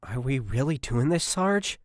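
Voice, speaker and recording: A nervous young army private with a shaky voice, as a male narrator acts him. He is heard in a dry, close audiobook recording, pitched a bit above the narration. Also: very animated, unhurried, no room sound. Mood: uneasy.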